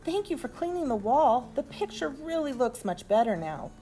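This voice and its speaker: A woman's voice: sits high, big pitch swings, normal speed, dark tone, soft background music. A woman voicing a shy, modest young boy pig in a storybook, hesitant and polite. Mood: grateful.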